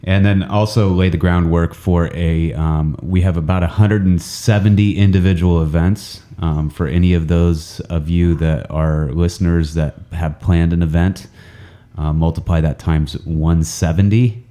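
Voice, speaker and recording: A man hosting a podcast in casual chat, his voice deepened by a cold, rambling and joking at his own expense with many ums and uhs. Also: fairly even intonation, measured pace, mellow. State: deep, congested voice from a cold. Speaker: podcast host. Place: indoor training center room, several people around a table.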